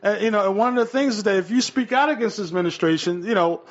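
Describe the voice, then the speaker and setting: Male talk radio host, higher register, hesitant and agreeable delivery, somewhat lo-fi sound. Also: fast talker. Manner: hesitant, repetitive phrasing, often echoing and agreeing. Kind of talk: political talk radio conversation.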